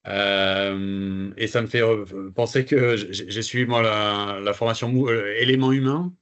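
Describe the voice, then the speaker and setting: Hesitant low-voiced man on a call. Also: steady tone, a little slow, a bit bright. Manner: hesitant, many fillers and repeated words. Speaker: workshop participant. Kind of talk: casual feedback on a video call. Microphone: video call. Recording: dull lo-fi video call audio.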